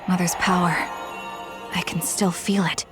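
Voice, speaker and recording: A woman's voice: lowish voice, little pitch movement, a little slow, music in the background, lightly acted. An actress playing a reserved, blunt and pensive young female fighter in a video game, with guarded, matter-of-fact delivery. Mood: cool, matter-of-fact. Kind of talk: English video game voice acting, dramatic dialogue.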